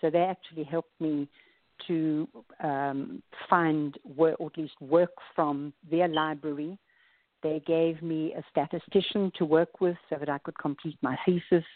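A woman's voice: low-pitched, fairly even intonation, measured pace, no room sound. A woman in her forties with a South African accent, a wellness coach guest telling her story in a casual interview with small laughs. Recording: dry tinny phone line.

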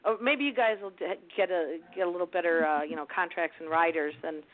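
A slightly hoarse woman co-hosting a live show, chatting playfully and joking about herself. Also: lowish voice, brisk, over a noisy crowd. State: a bit hoarse after travel. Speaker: podcast co-host, HR professional. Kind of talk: casual chat. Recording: phone-like call line.

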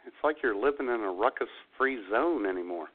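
A man's voice: mid-range voice, expressive, a little fast. A man hosting a comedy podcast, chatting casually in a wry, mock-solemn way. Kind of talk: casual joking banter. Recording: thin phone-like band-limited audio.

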